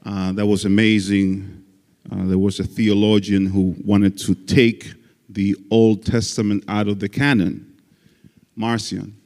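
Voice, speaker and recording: A man's voice: lowish voice, little pitch movement, unhurried, a little mellow. A male guest pastor from the Dominican Republic, preaching a folksy sermon with jokes and frequent amens. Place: church sanctuary. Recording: church hall reverb.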